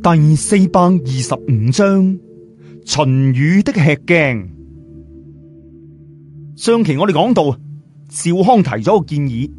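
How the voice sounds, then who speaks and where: Male narrator. Higher-pitched, very animated oral storytelling, chatty, music underneath. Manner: colloquial, chatty, rhetorical questions to listeners. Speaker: audiobook narrator. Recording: music behind the voice.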